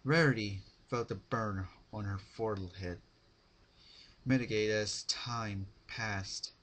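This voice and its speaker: Slow, low, husky male narrator. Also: fairly bright tone. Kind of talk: amateur dramatic reading of horror fan fiction.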